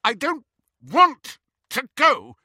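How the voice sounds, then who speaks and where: A bitter young girl, voiced by a man reading a storybook, high and shrill. She spits out her scorn with wide, indignant swings in a forceful, bright tone. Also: expressive, slow pace, no echo, studio quality, exaggerated voice. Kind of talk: audiobook character reading.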